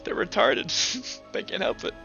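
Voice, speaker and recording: A man's voice: normal speed, sharp tone. A man casually game mastering an online tabletop roleplay session, informally talking through rulings aloud. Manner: informal, talks through rulings aloud, acts out sound effects. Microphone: online voice chat microphone. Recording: dull, lo-fi voice chat with music behind.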